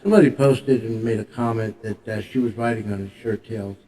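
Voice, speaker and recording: A man's voice: lowish voice, a little fast, mellow. An older man co-hosting a podcast, speaking casually and bluntly with firm opinions. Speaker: podcast co-host. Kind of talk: casual chat.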